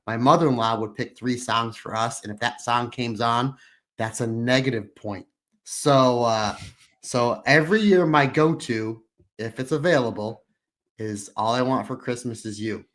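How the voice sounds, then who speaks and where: A man in his thirties or forties co-hosting a podcast, a little hoarse, telling long upbeat stories in casual chat. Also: mid-range voice, fairly bright tone. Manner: rambling, upbeat storytelling with frequent restarts. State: slightly hoarse. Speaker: podcast co-host.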